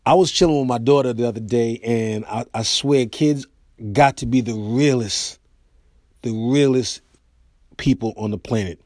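An American man hosting his own podcast, telling comic stories in a loose, animated way. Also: mid-range voice, normal speed, no room sound, clean studio sound. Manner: animated storyteller, acts out quoted voices. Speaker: podcast host and comedian. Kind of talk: casual storytelling monologue.

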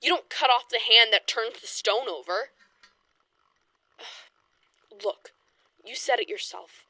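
Bright-voiced, determined 1920s woman researcher. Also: on the high side, takes it slowly, no echo, full character voice, rough recording. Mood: angry, defensive. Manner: blunt, emphatic, sweary. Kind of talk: audio horror drama acting.

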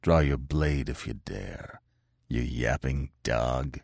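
A man voicing a grim barbarian warrior who speaks low and softly with quiet menace. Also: a little slow, no echo, clean studio sound, full character voice. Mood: quietly menacing. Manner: low, soft-spoken menace.

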